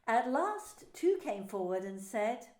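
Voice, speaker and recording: A woman minister narrating scripture in an expressive, deliberate way. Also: on the high side. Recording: echoey room.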